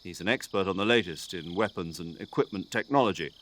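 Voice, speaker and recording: A man voicing an older military commander in a video game, precise and drily good-humoured, articulate and measured as he briefs an agent. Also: low-pitched, a bit bright, a little hiss or hum. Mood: businesslike, grave. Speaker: mission commander giving a radio briefing.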